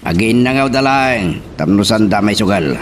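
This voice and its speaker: A man's voice: on the low side, expressive, normal speed, lightly acted. A man playing a haughty, resentful young heir of a landowning family in a radio drama. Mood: cool, annoyed. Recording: noisy radio drama recording.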